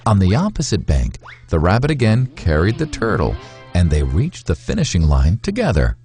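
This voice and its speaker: A man narrating a scripted moral fable in a measured, instructive way, with deliberate phrasing. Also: low-pitched, huge pitch swings, darkish tone, soft background music. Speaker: storyteller, narrator.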